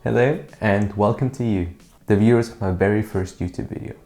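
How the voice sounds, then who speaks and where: A man's voice: on the low side, fairly quick, dark tone, soft background music. A man in his thirties from Switzerland narrating his own vlog in an earnest, matter-of-fact way with dry asides. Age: 30s. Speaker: YouTuber. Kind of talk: scripted personal vlog narration.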